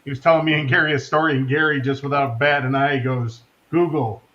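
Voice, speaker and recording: A man from Wisconsin telling stories in a relaxed, measured way during a casual group chat. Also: medium pitch. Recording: slightly dull lo-fi line with faint noise.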